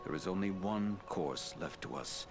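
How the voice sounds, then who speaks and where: A stern, ancient elf lord in a fantasy game. The voice is deep, solemn and deliberate, with loud orchestrated music behind it. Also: male voice, lively intonation, vocal fry. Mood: grave, warning. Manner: formal, grave, deliberate. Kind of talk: dramatic fantasy game acting.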